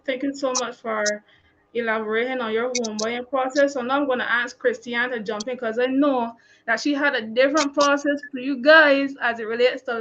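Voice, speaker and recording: High-voiced woman moderating a panel. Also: highs cut off, noisy background. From Barbados. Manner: encouraging, repeats key points. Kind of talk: panel discussion.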